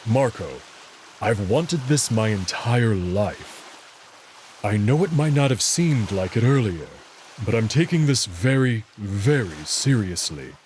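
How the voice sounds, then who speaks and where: A man voicing a young male alien wolf for a visual novel, proud and courteous, speaking earnestly and deliberately. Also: on the low side, lively intonation, darkish tone, slight background noise. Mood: serious, confident. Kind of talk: visual novel character reading.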